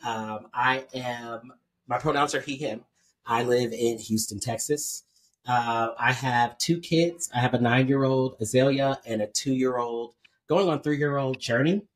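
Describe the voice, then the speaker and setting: A man's voice: medium pitch, measured pace, low-quality recording. Laid-back man hosting a podcast. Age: 30s. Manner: laid-back, laughing, explaining. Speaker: podcast host. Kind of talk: casual podcast panel chat.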